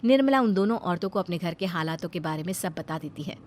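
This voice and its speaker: A woman's voice: fairly low pitch, lively intonation, fast talker, a little mellow, slight background noise. A woman reading scripted narration for a cartoon family story as its storyteller.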